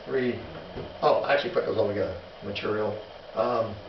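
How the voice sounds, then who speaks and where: A man teaching a missions class in a relaxed, halting way, with many restarts and fillers while telling stories. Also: fairly low pitch. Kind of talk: informal classroom lecture. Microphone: distant room mic. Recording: echoey, distant, muffled classroom recording with noise.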